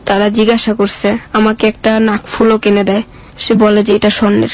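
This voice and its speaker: A woman's voice: mid-range voice, completely flat delivery, moderate pace, highs cut off. A woman with a colloquial Bangladeshi Bengali accent tells her life story as a guest, plain-spoken and casual, acting out remembered dialogue as she goes. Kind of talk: personal storytelling in casual speech.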